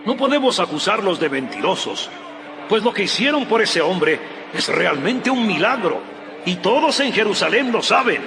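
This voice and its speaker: High, agitated male council member. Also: sing-song, normal speed, gravelly, noisy background, full character voice. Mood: worried, exasperated. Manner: urgent, exasperated. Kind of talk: dramatized audio Bible acting. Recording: music bed behind the voice.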